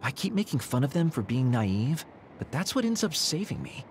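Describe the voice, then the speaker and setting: A man's voice: mid-range voice, big pitch swings, moderate pace, slight background noise. A man playing a worldly, shrewd young agent in a video game, wry and musing. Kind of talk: video game cutscene acting. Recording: music clearly behind the voice.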